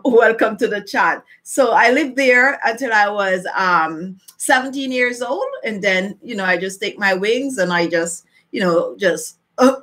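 A middle-aged Jamaican woman hosts a casual live chat from a room at home. Her voice sits a little high and sounds very bright and forceful. She is chatty and repeats phrases to drive a point home. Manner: chatty, emphatic repetition. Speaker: beauty vlogger hosting a live chat. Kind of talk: casual livestream chat to viewers. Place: small furnished room at home, indoors.

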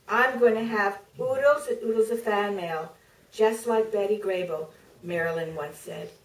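Slow, deliberate woman reading in echoey theater. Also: mid-range voice, recorded from a distance. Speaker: author reading from her book. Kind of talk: staged reading to an audience. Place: theater stage, filmed from the audience. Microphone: podium microphone heard through distant camera. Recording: roomy theater, picked up from afar.

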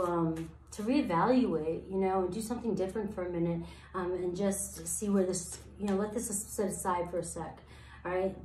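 A woman in her thirties with a slightly raspy voice, giving a casual tarot reading and rambling through her thoughts with many fillers. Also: mid-range voice, a little mellow, a little hiss or hum. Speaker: tarot reader. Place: sparse room, indoors, seated at floor level. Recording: echoey empty room.

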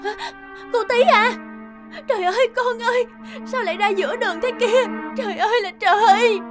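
A woman playing a sharp-tongued but caring young mother who minds a repair shop, in an expressive animated cartoon dub. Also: very high voice, moderate pace. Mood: panicked. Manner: expressive, scolding or fussing. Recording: music behind the voice.

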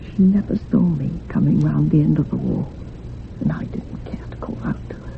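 An actress playing an older, sorrowful village housekeeper in a radio drama, confiding in rustic, old-fashioned turns of phrase. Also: female voice, deep voice, moderate pace, gravelly. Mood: anguished, confiding. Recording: muffled phone-like radio recording with hum.

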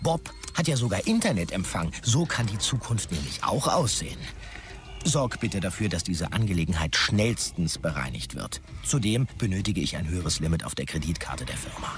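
An actor playing a self-important, demanding marketing chief with a pompous manner. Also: male voice, sits low, sing-song, moderate pace, soft background music. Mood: indignant, demanding.

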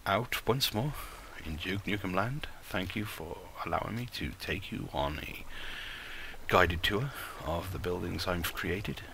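A man's voice: low-pitched, creaky, pushed and bright, slight background noise. A man with a light Welsh accent hosting a gaming channel, talking casually and rambling over his gameplay. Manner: rambling and self-mocking, with playful put-on crooning.

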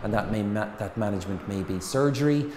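Irish guy doc, brisk explainer, lowish voice, roomy echo. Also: noisy background. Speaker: hospital consultant. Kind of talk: interview explanation. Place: small hospital room with stone wall. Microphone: lapel. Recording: echoey room with long reverb.

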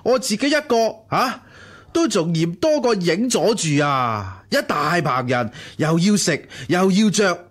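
Animated, grumbling, penniless Cantonese hanger-on. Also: male voice, high-pitched, a little slow, husky, exaggerated voice. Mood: indignant, self-pitying. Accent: Hong Kong Cantonese. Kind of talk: Cantonese radio drama acting.

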